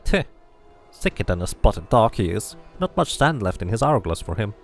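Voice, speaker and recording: A man's voice: lowish voice, sing-song, normal speed, soft background music. A man voicing a cranky, scornful old woman who gossips and mutters insults in a fantasy game. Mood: scornful, amused.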